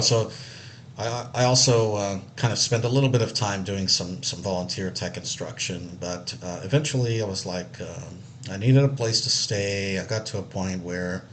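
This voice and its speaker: A man's voice: sits low, slight background noise. A man from Israel telling his life story casually, rambling a little with many fillers and restarts. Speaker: accessibility analyst.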